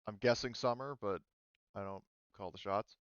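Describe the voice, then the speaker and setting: A man running a tabletop roleplaying game as game master in casual talk, thinking aloud with many pauses. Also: on the low side, clear, resonant tone, dry recording, lo-fi sound.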